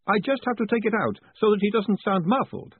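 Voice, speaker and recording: A man's voice: lively intonation, brisk, breathy edge, no echo, exaggerated voice. Man doing a chirpy wizard professor. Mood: cheerful. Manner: high, bright and chatty. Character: cheerful, fussy male wizard professor. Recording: tinny phone-like audio.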